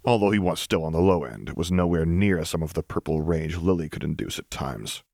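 Low-pitched man narrating a story. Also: fairly quick, creaky, a little mellow, no echo, clean studio sound. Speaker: narrator, audiobook reader.

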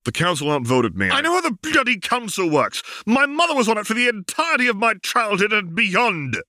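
A man acts out an incensed woman officer from an audiobook drama, pitched well above his natural register. The delivery is biting and indignant, with clipped words and a close, dry sound. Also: huge pitch swings, fairly quick, pushed and bright, no echo, heavily acted. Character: angry, incredulous woman in her prime, sharp-tongued officer.

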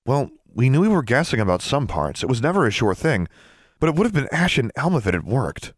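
Man reading a resigned teenage witch, pitched up. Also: big pitch swings, fairly quick, dry recording, clean studio sound.

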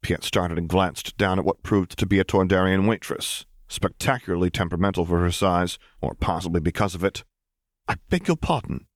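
A man with a deep voice narrates scripted fiction as an audiobook reader. The sound is dry and close, with no room around the words. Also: level tone, fairly quick, few pauses, no echo.

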